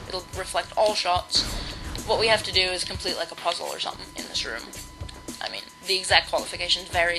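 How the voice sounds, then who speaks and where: A sixteen-year-old boy with a high-pitched voice, giving live gameplay commentary in a hesitant, rambling way with many ums and restarts. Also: rough recording. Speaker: let's play commentator. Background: video game music.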